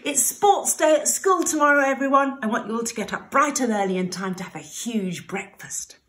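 A British woman voicing a caring, brisk mother pig in a children's bedtime story, motherly and emphatic. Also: a little high, expressive, fairly bright tone, voice pushed up. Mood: excited announcing. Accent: British English.

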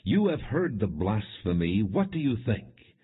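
Stern, accusing male high priest read by a man, formal, emphatic, husky, scripture audiobook. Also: sing-song, a little slow, exaggerated voice. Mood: indignant. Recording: muffled, narrow phone-like sound.

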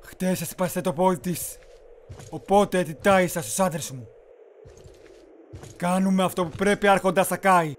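A man acting a high-voiced, imperious Mongol warlord. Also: long pauses, a little hiss or hum, natural voice. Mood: calm menace. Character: commanding Mongol warlord, persuasive and menacing. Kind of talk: amateur Greek game dub acting.

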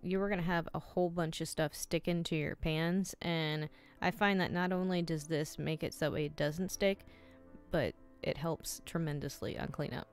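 Woman, 30s, home-cooking vlogger, lower voice, casual step-by-step instructions over faint music. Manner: chatty, instructive, says "you're gonna wanna" a lot. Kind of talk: casual recipe walkthrough.

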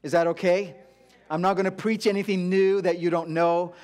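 Middle-aged male guest speaker, from India and raised in Dubai; somewhat higher-pitched voice, informal, halting sermon delivery. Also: flat delivery, a little mellow. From India-born, grew up in Dubai. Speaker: guest preacher at a church. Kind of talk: informal sermon, personal testimony. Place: church auditorium stage, before a congregation.

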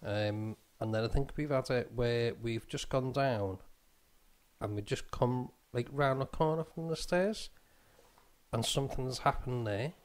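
A man co-hosting a paranormal radio show, agreeable and quick to back up his partner. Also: medium pitch. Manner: agreeable, backs up the other host. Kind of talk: casual studio chat. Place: radio studio.

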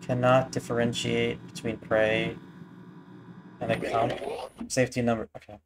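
A man's voice: medium pitch, level tone, a little slow, background noise. A young man giving casual, off-the-cuff reaction commentary from a small room indoors. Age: young adult. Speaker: reaction video host.

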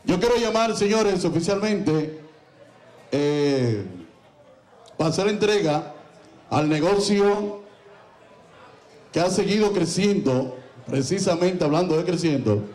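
A man's voice: high-pitched, animated, slow pace, long pauses, a little mellow. A man hosting an awards gala on stage, speaking emphatically like a preacher, blessing the crowd and calling for applause through a handheld microphone. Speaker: event host. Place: large banquet hall, on stage. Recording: echoey banquet hall.

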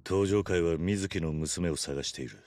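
An actor playing a stoic, tough yakuza hero in a video game drama, speaking in terse, gruff, restrained lines. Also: male voice, deep voice, normal speed, darkish tone, natural voice. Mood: guarded, curious.

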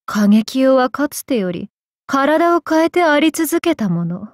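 A woman voicing a tormented, vengeful samurai woman in an anime game, speaking in archaic, solemn, halting phrases. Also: high voice, sing-song, no room sound, studio quality. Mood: haughty, guarded. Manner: archaic, solemn speech with halting pauses, sometimes sinking to whispers. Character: vengeful possessed samurai woman, tormented, torn between two selves. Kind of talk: anime game character voice lines.

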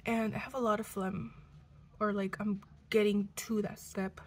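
A sick young woman in her twenties, hoarse and congested, chatting casually to a close camera at home, cursing and coughing now and then. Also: mid-range voice, flat delivery, normal speed. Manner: chatty, swearing, coughs between lines. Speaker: vlogger. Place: living room at home. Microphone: camera close to face.

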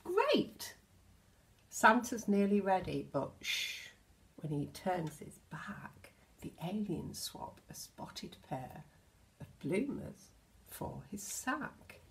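A British woman in her sixties reads a children's picture book aloud, expressively, with gentle asides addressed to the children. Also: mid-range voice, big pitch swings, slow, poor audio. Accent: British English. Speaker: storyteller, infant school teacher. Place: indoors in a home hallway.

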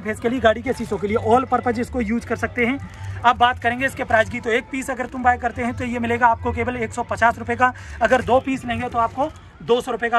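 A man's voice: very high-pitched, a bit bright. A young man giving a rehearsed sales demonstration at a market stall, listing uses in repetitive patter. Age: young adult. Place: open-sided tented fair stall outdoors. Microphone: handheld camera a step or two away. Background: music.

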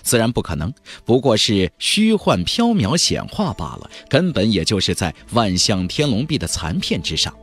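A man performs as an audiobook narrator of a fantasy tale. His delivery is theatrical and emphatic, with hugely varied pitch. The voice has a husky grain, and faint music plays behind it. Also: huge pitch swings, normal speed, hardly any pauses, a bit bright. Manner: theatrical, emphatic, with exclamatory flourishes. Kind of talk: dramatic scripted storytelling of a fantasy novel.

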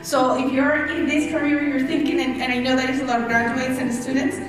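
A woman's voice: high-pitched, flat delivery, fairly quick, over music. Woman with a Colombian accent, enthusiastic speaker, mic'd in a very reverberant hall. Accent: Colombian Spanish. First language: Spanish. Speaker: health coach graduate. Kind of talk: audience testimonial. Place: large hotel conference room. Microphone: handheld microphone. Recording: echoey room from far off.

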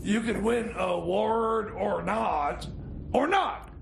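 A man's voice: high-pitched, takes it slowly, gravelly, exaggerated voice. A man voicing a nervous, flustered radio sidekick who stammers and repeats himself, with a little noise on the recording. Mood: cautious. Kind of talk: comedy radio theater banter.

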